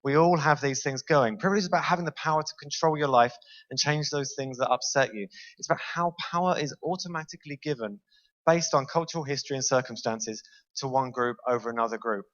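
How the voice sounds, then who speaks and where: A man's voice: mid-range voice, quick pace, fairly bright tone, muffled recording. A British man speaking from a stage in a large lecture hall, giving an easygoing, self-aware talk and putting questions to the audience. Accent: British English. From Britain. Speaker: conference speaker. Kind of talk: conference talk to a live audience.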